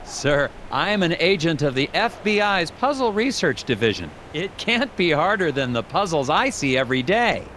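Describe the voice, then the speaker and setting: An actor playing an earnest, awkward, polite government investigator in a video game, officious and slightly hesitant. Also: male voice, fairly high pitch, sing-song, normal speed, hardly any pauses, a bit bright, a little hiss or hum. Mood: frustrated. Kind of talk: video game character dialogue and dictated reports.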